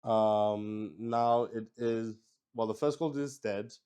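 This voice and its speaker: Slow, halting man narrating his game. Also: on the low side, fairly even intonation, dark tone, smooth. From Luxembourg. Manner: halting, thinking aloud. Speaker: solo tabletop roleplayer. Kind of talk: narrating a solo tabletop game while playing.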